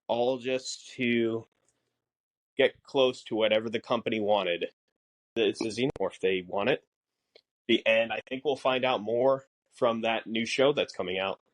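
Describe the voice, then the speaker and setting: Mid-pitched man explaining things haltingly. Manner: halting, pieces thoughts together with pauses. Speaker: podcast co-host. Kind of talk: casual explanation.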